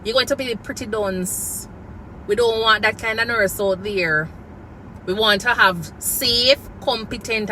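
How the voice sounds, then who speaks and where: A woman with a Jamaican accent speaking straight to camera, her voice bright and forward at a middling pitch. She lectures earnestly and drifts into patois now and then. Faint traffic hums behind her outdoors. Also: poor audio. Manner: earnest, preachy, slips into patois phrases. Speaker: nurse and nursing coach. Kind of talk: casual vlog talk to camera.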